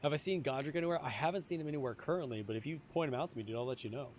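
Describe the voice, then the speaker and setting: A young man streaming a game, chatting casually with his viewers, his voice a little hoarse. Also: fairly high pitch, rapid-fire, slight background noise. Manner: chatty, answers chat, repeats phrases. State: slightly hoarse. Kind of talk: casual live-stream chat while gaming.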